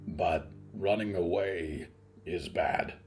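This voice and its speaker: Man doing a very deep, slow, glum creature. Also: a little mellow, soft background music. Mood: guilty, glum. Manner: plain short sentences, subdued, glum, naive.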